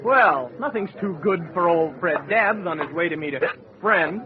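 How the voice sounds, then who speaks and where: High-pitched pompous seafaring blowhard. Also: male voice, expressive, normal speed, heavy background noise. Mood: jaunty, florid. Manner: pompous, florid, wisecracking. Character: boastful, tipsy old seafaring blowhard with florid manners. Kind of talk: comic radio acting. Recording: dull radio sound with crowd behind. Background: barroom crowd.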